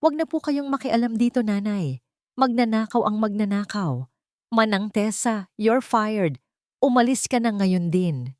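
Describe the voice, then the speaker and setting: A woman's voice: mid-range voice, lively intonation, unhurried, darkish tone, no room sound, clean studio sound, pitched above the performer's own voice, lightly acted. A woman with a Filipino accent voicing a cold, commanding, scheming daughter-in-law in an audio story. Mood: stern. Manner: icy, commanding.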